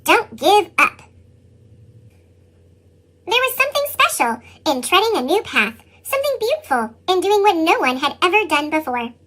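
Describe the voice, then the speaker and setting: A woman reading a children's picture book as an earnest young boy, with a squeaky pitched-up chipmunk effect. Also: normal speed, heavily acted. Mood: earnest, proud. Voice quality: squeaky, sped-up chipmunk sound. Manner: animated children's read-aloud delivery. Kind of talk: scripted picture-book narration.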